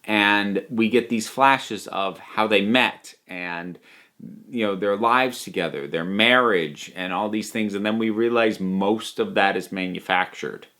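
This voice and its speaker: A man's voice: lowish voice, a bit bright. A man giving a conversational, opinionated review to viewers, leaning on key words for emphasis. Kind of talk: spoken audio drama review to viewers.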